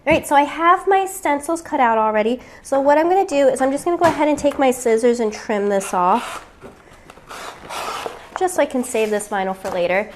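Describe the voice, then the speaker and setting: A woman's voice: high-pitched, lively intonation, fairly quick, slight background noise. Woman with a cold-weakened voice. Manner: chatty, with frequent fillers and thinking aloud. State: weak voice from getting over a cold. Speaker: crafting tutorial host. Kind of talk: casual step-by-step tutorial.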